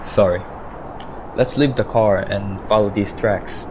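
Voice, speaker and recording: A man's voice: on the low side, fairly even intonation, a little slow, dull audio, close to an everyday voice. A man voicing a cautious state trooper in a school radio play, speaking earnestly and a bit hesitantly. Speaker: student actor. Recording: clear background noise.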